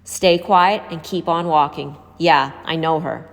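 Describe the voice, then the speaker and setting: A woman's voice: sits low, fairly even intonation, measured pace. A woman voicing a wary, streetwise young homeless man in a story, blunt and urgent with warnings. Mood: hushed warning. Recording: hall-like reverb.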